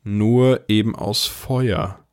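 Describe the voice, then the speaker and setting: Audio drama casting: man as deep-voiced older brother, first-person narrator with dry, understated wit. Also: fairly even intonation, very slow, a little mellow. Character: wry, unflappable older brother narrating his story.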